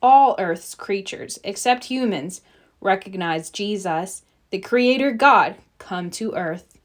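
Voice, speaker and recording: A woman's voice: medium pitch, expressive, takes it slowly. A woman voicing a devout, compassionate male robin in a storybook legend, earnest and reverent. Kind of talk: storybook read-aloud character voice.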